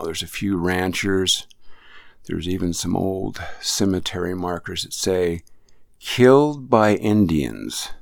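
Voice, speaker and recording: A man hosting a radio show, telling reflective stories in a halting way that often restarts phrases. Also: deep voice, gravelly, no echo. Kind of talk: reflective storytelling monologue. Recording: music bed under speech. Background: music under the voice.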